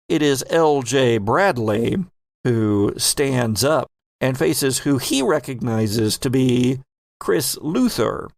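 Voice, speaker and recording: A man hosting and game mastering a tabletop podcast, shifting between casual banter and deliberate, theatrical storytelling. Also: medium pitch, creaky, darkish tone, no room sound. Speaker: host and game master of an actual-play podcast.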